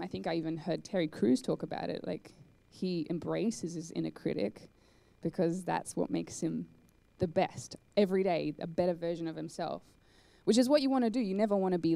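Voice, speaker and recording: Casual speaker, young woman, low fry-edged voice, talks on stage into a handheld mic. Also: normal speed, dry recording. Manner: chatty, animated, lots of "like" and "um". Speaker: conference speaker. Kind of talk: live talk and Q&A. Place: large dark cinema hall on stage.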